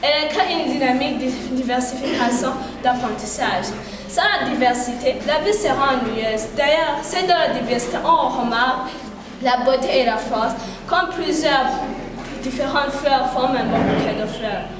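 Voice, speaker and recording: Teenage schoolgirl, earnest declamatory recitation, slightly high voice, caught far off in an echoing hall. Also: moderate pace, background noise. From Burundi. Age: teens. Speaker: pupil reciting a poem. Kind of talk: recited poem at a school event. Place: large hall. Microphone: far from the microphone. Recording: echoey hall from far off, background ambience.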